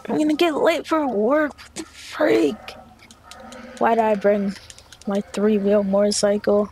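A gamer improvising a video game roleplay, excitable, exclaiming and muttering along while playing. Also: mid-range voice, unhurried. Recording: noisy home gameplay recording.

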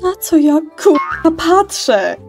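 Woman as a slow, anxious cartoon jester girl. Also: fairly high pitch, music in the background. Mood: anxious, bewildered. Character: anxious, bewildered young female cartoon jester. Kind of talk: animated fan dub.

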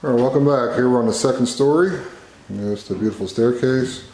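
A man's voice: medium pitch, brisk, warm tone. A man casually narrating a walk-through tour of a property as the agent showing it. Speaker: real estate agent giving a house tour. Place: empty rooms of a house under renovation. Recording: echoey empty house, clear background noise.

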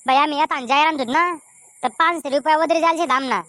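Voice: high voice, fairly even intonation, fast talker, pushed and bright. A performer voicing a pushy, suspicious villager in a comedy cartoon, with a Khandeshi Ahirani accent. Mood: pleading. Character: pushy, suspicious villager demanding lost money back.

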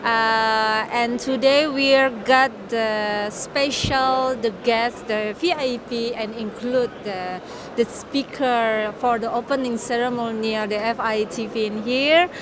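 A woman's voice: fairly high pitch, slow, few pauses, background noise. Upbeat woman interviewer. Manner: upbeat, broken English phrasing. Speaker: event interviewer. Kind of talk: interview hosting. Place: trade fair booth, indoors. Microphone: handheld mic.

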